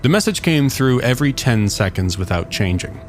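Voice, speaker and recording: A man's voice: medium pitch, very animated, moderate pace, a little hiss or hum. A man narrating a scripted science fiction story. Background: music under the voice.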